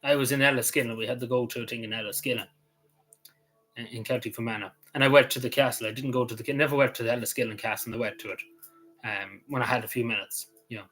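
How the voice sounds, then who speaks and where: A man's voice: mid-range voice, fairly even intonation, fast, fairly bright tone, soft background music, low-quality recording. An Irish man chatting casually on a livestream, answering his viewers in a rambling way, full of "you know" and restarts. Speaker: YouTuber answering live chat.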